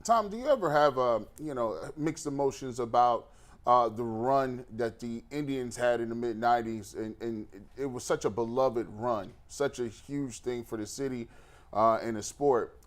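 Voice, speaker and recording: Halting male sports show panelist. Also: medium pitch. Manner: halting, roundabout questioning. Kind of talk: conversational interview questioning.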